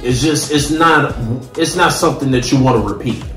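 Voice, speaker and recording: A man's voice: medium pitch, normal speed, a bit bright. An American man in his thirties chatting casually and emphatically in slang as he reviews food on camera. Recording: roomy home recording with faint music.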